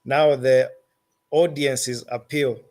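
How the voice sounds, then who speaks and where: A man with a Zambian accent answering interview questions as a musician, phrasing his thoughts deliberately and haltingly. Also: medium pitch, fairly even intonation, a little mellow.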